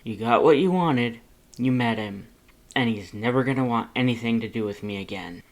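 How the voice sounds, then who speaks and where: Man playing gloomy skeleton brother, lazy mumble, low-key growl, guarded, casual, storybook character. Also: normal speed, close to an everyday voice. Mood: bitter, deflated.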